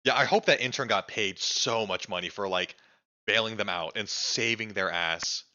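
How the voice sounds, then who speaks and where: A young streamer reacts out loud with excitable chatter, cursing casually and leaning on "like". His voice comes across bright and forceful. The audio is dull and lo-fi, like a home stream mic. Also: fairly low pitch, normal speed. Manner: excitable, swears freely, fills speech with "like".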